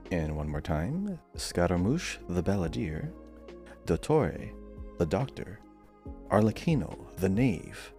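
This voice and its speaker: Deep-voiced man hosting a casual quiz. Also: big pitch swings, takes it slowly, dark tone, soft background music. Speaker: podcast quiz host. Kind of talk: casual quiz show banter, reading out questions.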